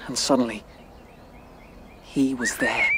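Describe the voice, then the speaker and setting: A man playing an anxious, visionary young male rabbit in a radio drama, speaking in breathless, halting dread. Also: mid-range voice, fairly even intonation, very noisy, close to an everyday voice. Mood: haunted.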